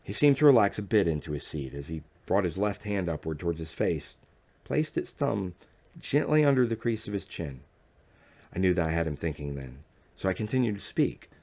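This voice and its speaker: A low-voiced man reads scripted fiction aloud. He narrates in the first person as an audiobook reader. His delivery is measured and dramatic. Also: sing-song, a little mellow.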